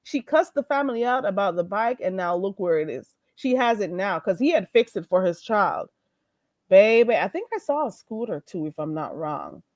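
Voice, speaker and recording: A woman's voice: mid-range voice, big pitch swings, normal speed, a little mellow, no room sound. Woman on a livestream spilling tea, cussing a lot, voice bouncing all over, kinda stuffed up, dry close sound. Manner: blunt, profane, gossipy; greets and thanks viewers mid-talk. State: stuffy nose. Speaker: livestream host. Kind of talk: live-stream gossip commentary.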